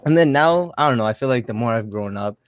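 A young man chatting casually, slangy and halting, leaning heavily on the word like. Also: medium pitch, expressive, clear, resonant tone, no room sound, clean studio sound. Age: young adult. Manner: slangy, halting, heavy use of like. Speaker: participant in a group call. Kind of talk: casual chat.

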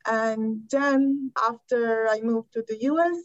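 A woman from Iran with an Iranian accent, speaking English as a second language, softly and with frequent laughs. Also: a little high, fairly even intonation, slow, no room sound. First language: Persian. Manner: soft-spoken, laughs often, slightly broken English. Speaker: guest dancer. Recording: dull dry sound.

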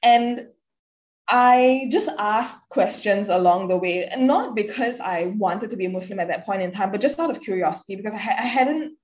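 A woman's voice: mid-range voice, moderate pace, darkish tone. A young woman from Singapore with a Singaporean English accent, chatting casually as a podcast guest and filling her speech with "like". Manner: chatty, many "like" fillers. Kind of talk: casual interview chat.

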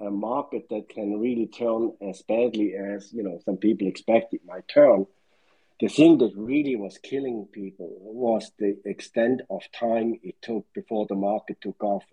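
A man's voice: fairly low pitch, steady tone, unhurried, mellow, husky, highs cut off. Older Swiss man recalling old markets. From Switzerland. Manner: rambling, recites dates and figures. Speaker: caller. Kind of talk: casual market recollection.

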